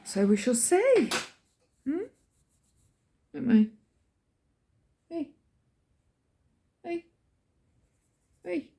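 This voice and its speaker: A woman's voice: high voice, very animated, drawn-out words, vocal fry, long pauses, mellow, slight background noise. A middle-aged British woman chatting casually in a rambling vlog, telling everyday stories in colloquial language and acting out what people said. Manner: chatty, colloquial storytelling with acted-out quotes. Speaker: vlogger.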